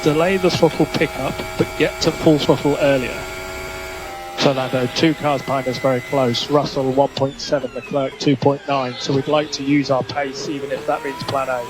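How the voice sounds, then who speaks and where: A man working as a race engineer, giving measured, clipped instructions over team radio and repeating key calls. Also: mid-range voice, little pitch movement. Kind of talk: instructional radio messages to a racing driver. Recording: noisy motor racing team radio.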